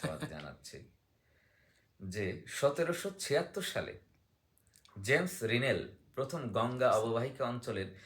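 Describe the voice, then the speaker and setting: A man's voice: mid-range voice, expressive, measured pace, long pauses, a bit bright. A man speaking as a guest in a book discussion, explaining in a teacherly way, sometimes reading aloud and sometimes posing questions he then answers.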